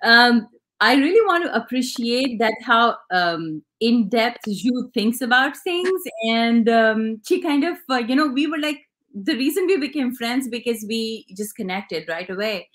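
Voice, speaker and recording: A woman's voice: fairly high pitch, animated, unhurried, fairly bright tone. Pakistani woman, hesitant interview guest. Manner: hesitant, many fillers and restarts. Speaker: fashion designer, guest. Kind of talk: casual interview talk.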